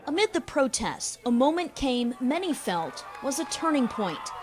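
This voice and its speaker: A woman's voice: high voice, big pitch swings, moderate pace, crowd noise. A woman working as a TV news reporter, reading scripted narration for a report. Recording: music behind the voice. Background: music bed.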